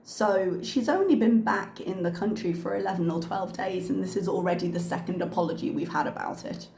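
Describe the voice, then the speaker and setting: Chatty commentary host in an echoey room. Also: female voice, fairly low pitch, brisk, vocal fry, darkish tone, a little hiss or hum. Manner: chatty, frank, with frequent ums and uhs. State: slightly losing her voice from a cough. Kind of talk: casual reaction commentary. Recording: echoey room with faint ambience.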